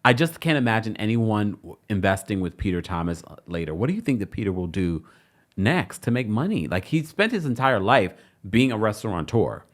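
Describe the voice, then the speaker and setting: A man's voice: on the low side, big pitch swings, fairly quick. A man hosting a celebrity news show, reading the story and adding mocking, sassy asides. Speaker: show host.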